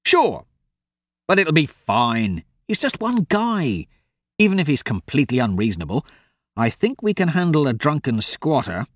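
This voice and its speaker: A man's voice: on the high side, big pitch swings, moderate pace, dry recording. A man voicing a good-natured young male swordsman who talks in an upbeat, reasoning way. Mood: easygoing upbeat.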